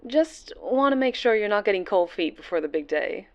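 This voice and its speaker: A woman's voice: mid-range voice, animated, moderate pace, a little mellow, lo-fi sound, natural voice. A woman playing a cheerful, polished interview producer in an audio roleplay, bubbly and reassuring. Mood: upbeat, congratulatory.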